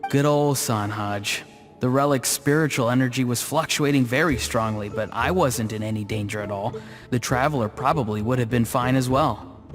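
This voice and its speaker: An actor playing an easygoing, thoughtful, gently teasing young grandson in a video game, casual and earnest. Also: male voice, medium pitch, normal speed, music in the background, lightly acted.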